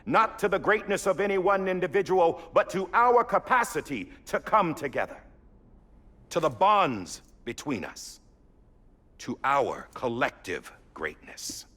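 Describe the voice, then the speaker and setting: An actor playing a solemn statesman giving a heartfelt memorial address in a game cutscene, with measured, emphatic, husky oratory. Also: high-pitched, big pitch swings, bright, forceful tone, full character voice. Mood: rousing. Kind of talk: formal public speech in a game cutscene.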